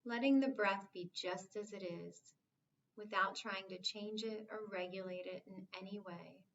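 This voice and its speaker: A woman leading a guided meditation as an instructor, speaking in a calm, soothing, measured way with long pauses. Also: medium pitch, muffled recording, slight background noise.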